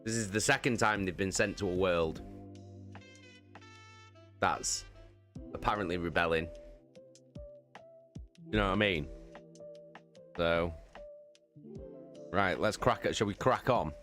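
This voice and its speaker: A man's voice: deep voice, slow, long pauses, a bit bright, soft background music. A man, a streamer who moves between casual chat and dramatic book narration. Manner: sweary, informal. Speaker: streamer hosting a live book reading.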